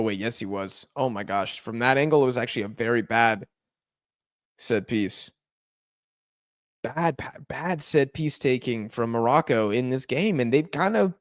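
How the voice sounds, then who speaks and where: A man hosting a live football watch-along, reacting excitedly to every chance and shouting at near misses, his voice going hoarse. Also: medium pitch, a little slow, no room sound, clean studio sound. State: hoarse, losing voice.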